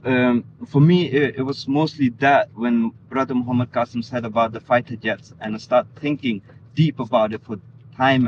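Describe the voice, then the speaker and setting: Earnest man with a hesitant delivery. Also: medium pitch. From Denmark. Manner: earnest, hesitant with many restarts. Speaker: interviewee. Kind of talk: casual conversation. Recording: slightly dull recording with faint noise.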